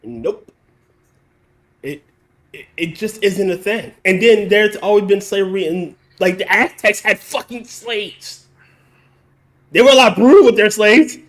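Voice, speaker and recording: A man's voice: high-pitched, unhurried, long pauses, a bit bright. A man hosting a casual podcast chat, blunt and swearing freely as he explains his point at length. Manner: blunt, swears freely, lectures in long runs. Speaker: podcast host.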